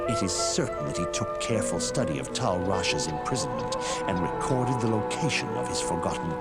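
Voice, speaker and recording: An actor playing a grave, learned old sage who recounts lore in a solemn, measured way in a fantasy game. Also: male voice, low-pitched, exaggerated voice. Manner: solemn, measured, formal storytelling. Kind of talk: scripted fantasy game dialogue. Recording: loud music behind the voice.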